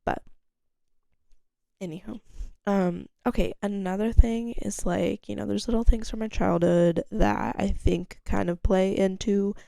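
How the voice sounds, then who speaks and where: A young woman chats on her own podcast, rambling, giggling and swearing freely. Her voice is gravelly and mellow. The sound is dry and close. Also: fairly even intonation, measured pace, no echo. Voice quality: gravelly low-toned voice. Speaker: podcast host. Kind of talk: casual solo chat.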